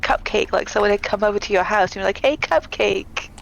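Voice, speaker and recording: Giggly woman with a gravelly voice. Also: mid-range voice, a little fast, dull audio. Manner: giggly, playful and chatty. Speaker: co-streamer. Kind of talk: casual chat.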